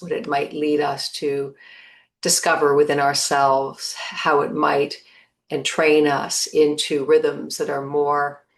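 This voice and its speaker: A woman leading a guided meditation in a measured manner, pausing between short phrases. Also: deep voice.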